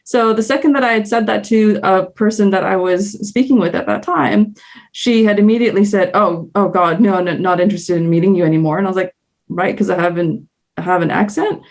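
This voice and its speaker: A woman's voice: medium pitch, fairly quick. A woman with a Canadian accent, speaking as a guest on a panel. She talks in an earnest, reflective way and thinks aloud as she goes. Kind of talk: candid panel discussion.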